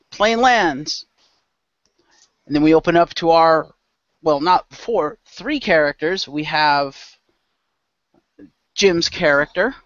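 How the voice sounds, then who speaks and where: A man's voice: a little high, fairly bright tone, dry recording. A man hosting and narrating a role-play show as game master in a loose, informal way. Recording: dull lo-fi close microphone.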